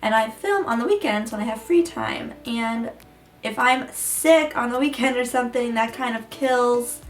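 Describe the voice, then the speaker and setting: Chatty young woman, slightly hoarse. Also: a little high, moderate pace. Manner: chatty, rambling, with frequent ums and likes. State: hoarse, getting her voice back. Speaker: beauty vlogger. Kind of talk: casual hair tutorial chat. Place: room at home beside a window. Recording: echoey room, mic a bit far.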